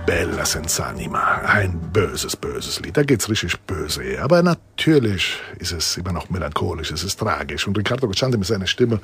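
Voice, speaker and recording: A man hosting a casual chat, talkative and quick to laugh. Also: sits low, soft background music. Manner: chatty, laughs often, animated. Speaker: host.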